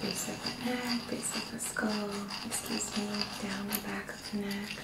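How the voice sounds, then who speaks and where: Hushed, slow ASMR woman. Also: mid-range voice, level tone, over loud music, poor audio. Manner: hushed, gentle, slow, short pausing phrases. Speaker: ASMR Reiki practitioner and host. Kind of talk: soft, whispered ASMR guided session. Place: small indoor room. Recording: clear background noise with small clicks.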